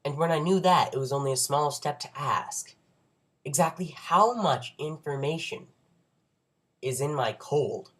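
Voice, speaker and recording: A man's voice: a little high, lively intonation, measured pace, a bit bright. A young man with a cold and a congested voice, giving an informal science explainer with wry, nerdy, self-joking asides. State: under the weather with a cold. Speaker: presenter of an educational video.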